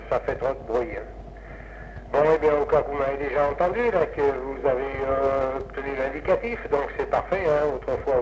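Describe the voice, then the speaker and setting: A man's voice: fairly high pitch, darkish tone. Male ham operator, rambling and hesitant, casual, over dull, hissy 1960s radio audio. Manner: rambling, hesitant, repeats himself. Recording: noisy lo-fi 1960s amateur radio recording.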